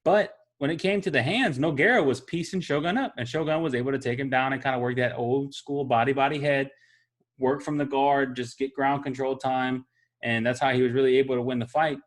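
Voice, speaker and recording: A relaxed man, chatting casually about fights as a co-host. His pitch sits in an ordinary middle range and he talks easily, often tagging "man" on the end. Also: fairly quick. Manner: laid-back, chatty, drawls "man". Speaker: podcast co-host. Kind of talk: casual sports chat.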